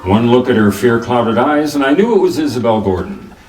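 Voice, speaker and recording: In a roomy recording set back from the mic, a man acts out a hard-boiled private detective from radio noir. His pitch moves freely through wry, clipped lines and narration. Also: very animated, a little fast, darkish tone, lightly acted. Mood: wry, narrating. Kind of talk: 1940s radio noir acting with scripted narration. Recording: roomy, distant microphone, music behind.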